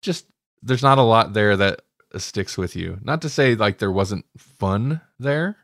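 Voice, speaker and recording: Low-voiced man in casual podcast talk. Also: expressive, unhurried, a bit bright, no room sound, clean studio sound. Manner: informal, conversational, thinking aloud. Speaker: podcast co-host. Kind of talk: casual discussion and recap of audio dramas.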